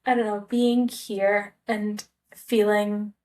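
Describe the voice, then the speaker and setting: A woman's voice: medium pitch, flat delivery, slow, darkish tone. A woman from Scotland with a Scottish accent, telling a personal story in a hesitant, rambling, conversational way, like a casual vlog. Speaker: travel vlogger.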